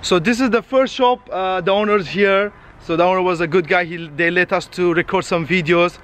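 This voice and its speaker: A man's voice: sits high, normal speed, a bit bright. A young man in his twenties talking to a handheld camera while walking through an outdoor car lot, in chatty, non-native English. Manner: non-native phrasing, chatty, pointing out cars. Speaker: vlogger. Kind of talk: casual walk-and-talk vlog. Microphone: camera held at arm's length. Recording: faint outdoor street noise.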